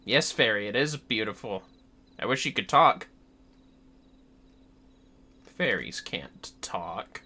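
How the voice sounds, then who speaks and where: A man's voice: on the low side, expressive, slow, long pauses, pushed and bright. A man chatting casually on a gaming livestream, sweary and playfully theatrical, with music behind him. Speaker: livestreaming gamer.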